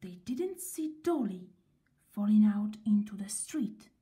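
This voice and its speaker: A woman reading a children's story aloud in a gentle, expressive way, with pauses between phrases. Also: mid-range voice.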